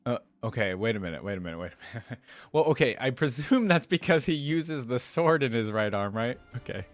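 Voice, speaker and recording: A man's voice: medium pitch, animated, a little fast, no room sound, soft background music. A man hosting a reaction video, talking casually and earnestly like an enthusiastic fan.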